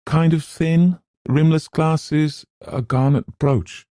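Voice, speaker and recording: A man's voice: a little high, fairly even intonation, slow pace, dark tone, no echo, studio quality, voice pushed up. A man voicing a dreamy, anxious young woman with a hesitant, wistful manner in an audiobook. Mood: recollecting.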